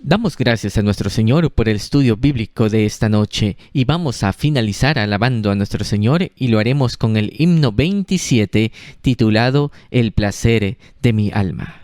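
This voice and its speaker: A man calmly and formally closing a church Bible study and announcing the next hymn. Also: on the low side, normal speed, few pauses, no echo, studio quality. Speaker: service leader.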